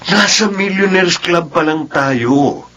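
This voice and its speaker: A man's voice: a little high, slow pace, a bit bright. A man playing an ambitious, boastful middle-aged husband with a Filipino accent in a drama. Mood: eager, ambitious. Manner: boastful, eager.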